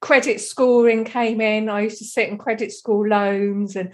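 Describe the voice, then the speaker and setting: A woman's voice: mid-range voice, little pitch movement, measured pace, a little mellow. A middle-aged woman from Kent with a southern English accent, chatting casually and reminiscing in a rambling, easily amused way. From Kent, England.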